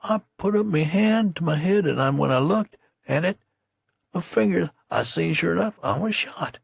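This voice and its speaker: Man voicing a wounded soldier, rustic drawl, slurred, raised pitch, swooping. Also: lively intonation, moderate pace, gravelly, slightly rough, no room sound, clean studio sound, exaggerated voice. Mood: shaken. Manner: rustic dialect, slurred, pleading. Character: rambling wounded old soldier, dazed, folksy.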